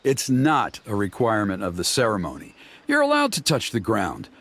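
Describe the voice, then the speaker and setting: Earnest, highly animated young tribesman. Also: normal speed, slightly rough, heavily acted. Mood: reassuring. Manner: explanatory, earnest. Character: earnest young tribesman explaining a sacred ceremony. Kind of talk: video game character voice acting. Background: music.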